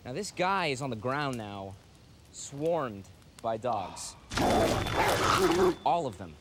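A man playing a cocky, wisecracking guy who tells friends a crude, slangy tale with dramatic pauses. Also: medium pitch, sing-song, very noisy, lightly acted. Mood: excited. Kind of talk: casual storytelling in a film-style drama. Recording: music behind the voice.

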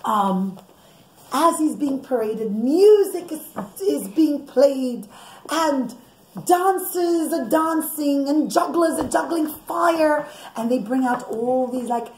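Middle aged mom doing storytime for kids, high voice, explains stuff as she goes, roomy echo, bit of noise. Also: a little mellow. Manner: explanatory, addresses listeners as children, acts out characters' thoughts. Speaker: mother telling children a bedtime story. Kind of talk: animated storytelling to children. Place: indoors, living or dining room at home. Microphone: camera or phone on the table, about a metre away. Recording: echoey room, background noise, clicks.

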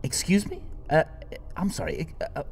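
An actor playing a time-travelling alien adventurer in an audio drama, stern yet playful and awkward. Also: male voice, on the high side, lively intonation, normal speed, a little mellow, slight background noise, lightly acted. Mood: awkward, caring.

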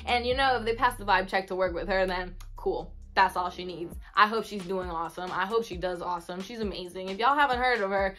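A woman in her twenties, a native Spanish speaker, chatting casually to camera in an expressive, slangy way with Spanish words mixed in. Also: mid-range voice, fairly quick, hardly any pauses. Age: 20s. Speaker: vlogger. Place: small bedroom indoors. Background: instrumental music.

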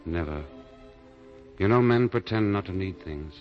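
An actor playing a romantic gentleman lover hiding sorrow, earnest and measured, in 1940s radio drama style. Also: sits low, expressive. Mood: tender. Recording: muffled 1940 radio broadcast, faint music.

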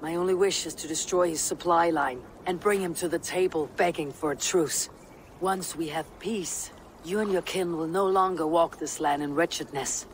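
Guy doing a calm viking chief, steady and diplomatic, slightly high voice. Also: slight background noise, lightly acted. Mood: earnest. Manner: measured, diplomatic, firm. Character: resolute Viking clan leader, calm and strategic. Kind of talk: video game cutscene acting.

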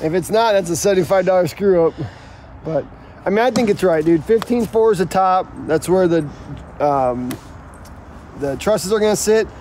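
A man's voice: sits high, expressive, normal speed, darkish tone. A middle-aged man explaining construction steps casually, with slangy, joking banter, outdoors on a building site. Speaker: builder and video co-host. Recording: noisy outdoor site sound.

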